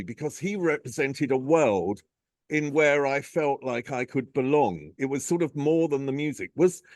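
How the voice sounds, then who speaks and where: Brief: male interview host, mid-pitched, dry close sound, thoughtful and encouraging. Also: dry recording. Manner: thoughtful, encouraging questioner who shares personal anecdotes. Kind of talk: interview questions.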